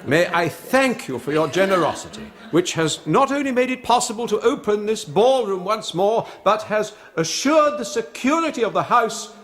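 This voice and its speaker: High-pitched, pompous English gentleman. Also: very animated, normal speed, hardly any pauses. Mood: grandly gracious. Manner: formal, oratorical. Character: stern, pompous Edwardian father and country house host. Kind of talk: radio drama acting.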